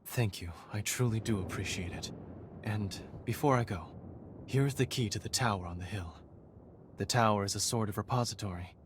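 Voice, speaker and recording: Male actor as gracious refined commander, lowish voice, formal wording, measured, video game cutscene, background noise. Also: natural voice. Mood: gracious, earnest. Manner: formal, polite, measured diction. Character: courteous, refined male leader of island hunters.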